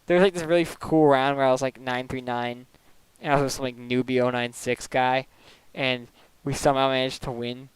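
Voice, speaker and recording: A young man talks loosely while he plays, hopping between thoughts and padding everything with "like" and small laughs. His voice is smooth and clean, sitting in an ordinary middle pitch. It sounds like a desk microphone at home. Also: fairly even intonation, no echo, low-quality recording. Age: young adult. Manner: rambling, full of "like" fillers, quick laughs. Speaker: streamer. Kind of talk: casual chat while gaming.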